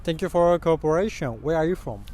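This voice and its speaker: A man's voice: on the high side, quick pace, dark tone. A man doing casual street interviews, asking simple questions and repeating answers back. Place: outdoors on an urban street in Japan. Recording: outdoor street with traffic noise.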